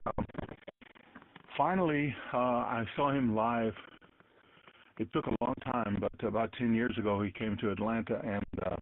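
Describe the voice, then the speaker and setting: An older man, in his sixties or beyond, chatting casually over two-way radio in a halting, reflective way. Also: mid-range voice, fairly even intonation. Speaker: amateur radio operator in an on-air conversation. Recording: narrow phone-like radio audio, clear noise.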